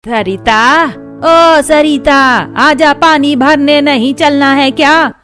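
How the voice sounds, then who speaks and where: Woman doing an old village auntie, dramatic lamenting, motherly, slow talker, music behind. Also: sits high, a bit bright. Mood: calling out. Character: elderly village mother-in-law, long-suffering, lamenting, motherly.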